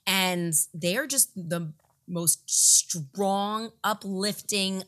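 A middle-aged woman co-hosting a casual podcast chat, hoarse from a lost voice, playful and quick to laugh. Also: lowish voice, bright, forceful tone. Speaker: podcast co-host.

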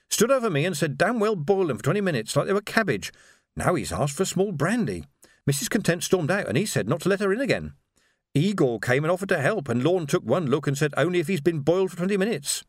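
A man's voice: fairly high pitch, big pitch swings, fast, no echo, clean studio sound, voice pushed up. A man voicing a fussy, grumbling household servant who chatters and gossips. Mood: gossipy.

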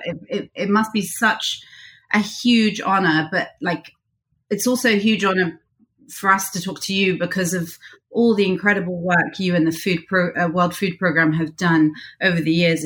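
A woman's voice: on the low side, a bit bright. A middle-aged woman interviewing an old college friend, speaking in a chatty and admiring way. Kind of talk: conversational interview.

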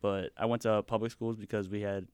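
A man's voice: on the low side, little pitch movement, a little fast, darkish tone, smooth, no echo, clean studio sound. A young man from the US Midwest who grew up speaking Spanish at home, a guest chatting in a laid-back, rambling way. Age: young adult. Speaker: podcast guest. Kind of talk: casual conversation.

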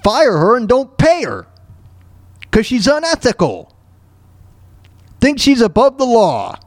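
A man hosting a solo radio show, giving informal, opinionated commentary on the news in a halting, repetitive way. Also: very high-pitched, huge pitch swings, no echo, studio quality. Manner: informal, halting, repeats words and phrases for emphasis.

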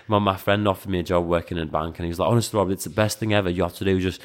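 A man's voice: deep voice, steady tone, racing. A Latvian-born man in his twenties with a Scouse accent, a kickboxer and coach talking casually as a guest, full of fillers and restarts. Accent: Liverpool (Scouse). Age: 20s. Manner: rambling, many fillers and restarts, acts out quoted speech. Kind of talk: casual interview conversation.

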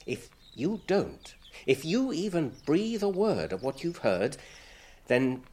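An actor playing a mild, thoughtful, genteel hobbit with a British accent, gentle and well-spoken, in a radio drama. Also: male voice, a little high, sing-song, slow, heavily acted. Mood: firm, teasing.

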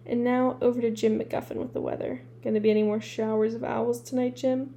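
A woman's voice: fairly high pitch, level tone, fairly quick, gravelly, dark tone. A woman voicing a wry male television newscaster with formal broadcast delivery.

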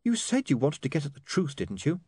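A man plays a concerned young woman in a storybook. She is polite, hesitant and full of questions, with a high, swooping voice. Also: huge pitch swings, a little fast, mellow, husky, no room sound, heavily acted. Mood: concerned, questioning.

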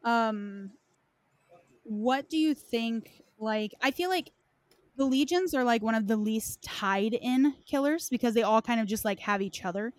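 Casual woman with a higher-pitched voice. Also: a little slow, no echo. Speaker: host of a game lore discussion. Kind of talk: casual discussion.

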